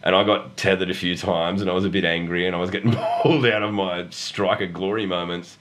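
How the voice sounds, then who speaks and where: Australian man, very low pitch, flu-hoarse, husky, casual sweary podcast host, self-deprecating humour. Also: steady tone, brisk, a bit bright. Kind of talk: casual gaming chat, swearing freely.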